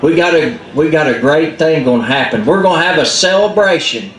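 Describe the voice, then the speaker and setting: A man doing a smooth trickster coyote, drawl. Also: a little high, fairly quick. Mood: persuasive. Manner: persuasive, flattering. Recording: roomy echo.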